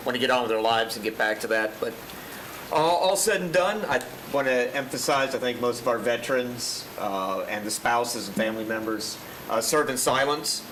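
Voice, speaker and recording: A man in his fifties with a big, loud voice, a veteran giving casual, grateful thank-you remarks at a county meeting. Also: medium pitch, a little hiss or hum. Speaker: veteran receiving a proclamation. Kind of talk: informal thank-you speech. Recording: roomy chamber with crowd around.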